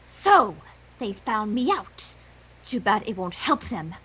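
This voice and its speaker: A woman's voice: medium pitch, sing-song, slow pace, a bit bright, slightly rough, full character voice, rough recording. A woman voicing a vain, grandiose unicorn villain from a cartoon story in a theatrical, gloating manner. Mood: sly.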